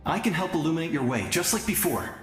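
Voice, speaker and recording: A man voicing a gentle, sincere young spirit guide in a video game. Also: fairly high pitch, big pitch swings, fast. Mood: warm resolve. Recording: echoey, music behind.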